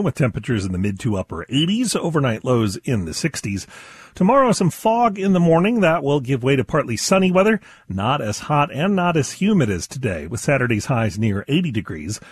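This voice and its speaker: A man announcer reads the weather with a slightly husky voice and a lively, rising-and-falling pitch. The studio sound is dry and close. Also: dry recording. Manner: measured announcer delivery. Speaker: radio announcer. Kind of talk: scripted news and weather reading. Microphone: studio mic.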